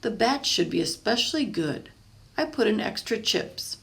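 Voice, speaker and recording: A kindly, busy mother in a children's storybook, voiced by a woman reader. Her lines are expressive and lift in pitch, with a creaky edge underneath. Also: normal speed. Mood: pleased. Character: busy, kindly mother running a family bakery.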